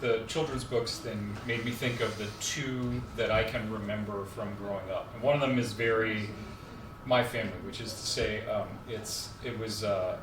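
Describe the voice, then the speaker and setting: A man's voice: lowish voice, steady tone, moderate pace. A man from Louisiana chatting casually in a hesitant, rambling way. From Louisiana, USA. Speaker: guest on a charity livestream. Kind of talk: casual chat. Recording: roomy, distant mic with clear background noise.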